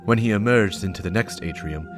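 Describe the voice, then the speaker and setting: A man's voice: fairly low pitch, fairly quick. A man reading scripted dramatic lore narration as a storyteller and channel host, with music behind him.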